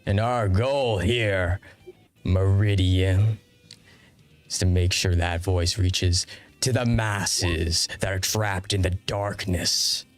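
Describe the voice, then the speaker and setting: A man's voice: deep voice, steady tone, slow. A man voicing a brash, profane rebel rocker who hates governments, with a swaggering, preachy way of talking. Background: background guitar music.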